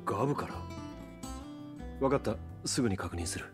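A man acts a brooding mercenary hero in a fantasy video game. His voice is very low, his speech terse and restrained, with music playing behind. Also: lively intonation, normal speed, lightly acted. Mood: businesslike. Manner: low-key, serious, restrained. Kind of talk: Japanese video game voice acting.

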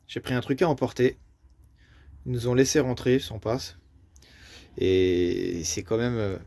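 A man's voice: medium pitch, darkish tone, no echo. A young man from France narrating a casual travel vlog to a camera held at arm's length, rambling with lots of fillers, outdoors and inside a camper van. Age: young adult. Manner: chatty and rambling, with many fillers. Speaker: travel vlogger. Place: outdoors in a foggy forest and parking lot, also inside a camper van. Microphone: handheld camera at arm's length.

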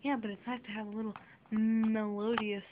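A young girl chatting playfully in a bedroom, filming on a handheld camera, with silly drawn-out words. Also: a little high, level tone. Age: child. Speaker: vlogger. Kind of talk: goofy sleepover vlog chat. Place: small bedroom at home. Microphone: handheld camera mic. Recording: muffled lo-fi camera audio with faint hiss.

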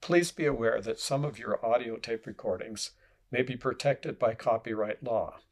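Guy reading a how-to script, formal and measured, husky creaky voice. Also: medium pitch. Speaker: instructor. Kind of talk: scripted instructional narration.

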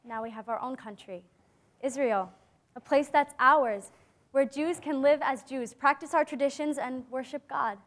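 A very high-voiced teenage girl, earnest and hopeful played by a young woman in a stage drama. Her delivery is measured and sincere. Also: natural voice. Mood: solemn. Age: young adult. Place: theatre stage before a seated audience. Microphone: clip-on lapel mic.

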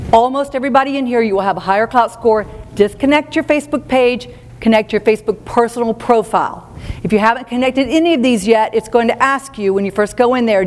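A woman giving a keynote talk at a live seminar, handing out brisk, practical, direct advice to the audience. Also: on the high side, in a large hall, slight background noise. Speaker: keynote speaker. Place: event hall.

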